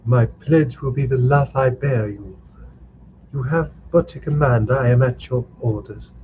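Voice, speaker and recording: A man's voice: medium pitch, unhurried, lo-fi sound, slight background noise, pitched above the performer's own voice, lightly acted. A man voicing a bold, gallant young swordsman, speaking in a confident, courtly, declamatory way.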